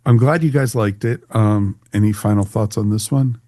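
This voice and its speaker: A man's voice: on the low side, normal speed, warm tone, no echo, clean studio sound. A man hosting a podcast, chatting casually as he leads a panel review. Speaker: podcast host.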